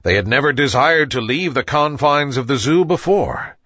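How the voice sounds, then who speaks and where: A man narrating a scripted children's story as an expressive storyteller. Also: mid-range voice, normal speed, no echo, studio quality.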